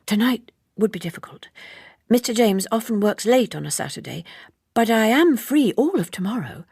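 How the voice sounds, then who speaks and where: A self-possessed young secretary woman in a period novel, acted out by a woman reader. Her voice sits lifted above the narration with a husky edge, polite, precise and quietly eager. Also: on the high side, big pitch swings, moderate pace, no echo, clean studio sound, pitched above the performer's own voice, heavily acted. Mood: composed, daring.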